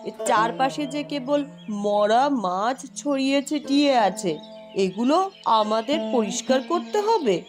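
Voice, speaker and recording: A woman's voice: a little high, lively intonation, a little slow, music in the background. A woman voicing a cheeky, grumbling young village girl in a cartoon, emphatic and bold in her delivery. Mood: indignant, grumbling. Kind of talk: Bengali cartoon voice acting.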